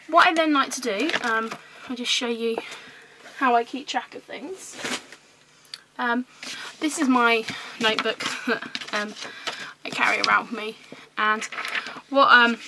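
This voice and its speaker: A woman with a hoarse voice, recovering from illness, chatting casually through a scrapbooking vlog with many ums. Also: fairly high pitch, background noise. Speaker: scrapbooking vlogger. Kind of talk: casual show-and-tell vlog.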